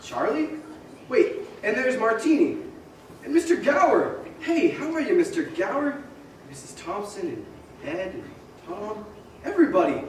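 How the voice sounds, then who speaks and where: A male actor plays an elated, frantic family man who exclaims everything with breathless joy. His pitch is high and swings widely. It is a stage radio play, caught from a distance in a very echoey hall. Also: very animated, a little slow. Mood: bewildered wonder. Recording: roomy theater stage, distant mic, faint noise.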